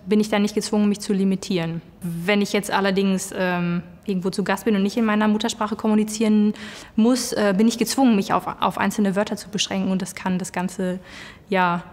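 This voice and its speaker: A woman reflects out loud on music and language in clear, articulate German. Her voice sits at a middle pitch, and a long reverb trails behind it. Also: steady tone, brisk. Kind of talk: interview answers.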